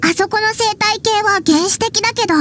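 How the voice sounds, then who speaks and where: A woman's voice: very high-pitched, brisk, a bit bright, soft background music. A woman voicing a tiny, childlike, cheeky fairy companion in an anime game, chirpy and blunt. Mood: curious. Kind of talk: Japanese anime game voice acting.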